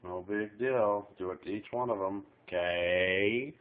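A man's voice: lowish voice, measured pace. A middle-aged man casually explaining a recipe in a small kitchen, chatting to viewers while filming one-handed. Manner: folksy, chatty instructions, talks to the viewer. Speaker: home cook filming a recipe. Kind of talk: casual cooking tutorial. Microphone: handheld camera filming overhead. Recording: tinny phone-like audio.

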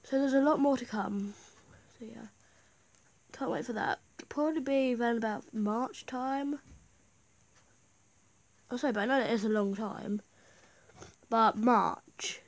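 A gaming YouTuber with a high voice, affected by a cold, giving casual, rambling, excitable gameplay commentary. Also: moderate pace, long pauses. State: has a bad cold.

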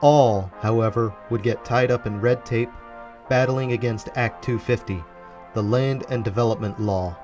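Man narrating over music, middling-deep voice. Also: steady tone, moderate pace, a little mellow. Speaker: video narrator. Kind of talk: scripted documentary narration over a walkthrough video. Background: background music.

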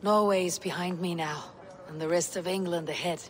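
An actress playing a proud, steadfast Viking woman warrior in a video game, speaking in a measured, formal way. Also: fairly low pitch, fairly even intonation, natural voice. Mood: proud, resolute. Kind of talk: dramatic game cutscene acting.

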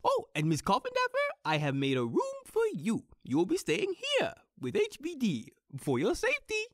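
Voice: medium pitch, huge pitch swings, normal speed, no echo, studio quality. A performer voicing a chirpy, eager, polite helper in an audio drama, in a cartoonish voice. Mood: delighted.

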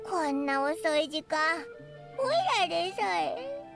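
An actress voicing a tiny childlike fairy companion in a Japanese anime game, squeaky, blunt and petulant with exaggerated delivery. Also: female voice, sing-song, measured pace, vocal fry, over loud music, heavily acted. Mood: puzzled, drowsy. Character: tiny childlike fairy companion, boyish-talking, indignant and excitable.

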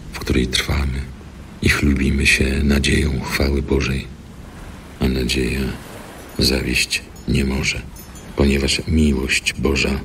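A man reading an epistle from scripture in a grave, deliberate, scripted style. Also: extremely low pitch, vocal fry, a bit bright, a little hiss or hum. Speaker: scripture reader.

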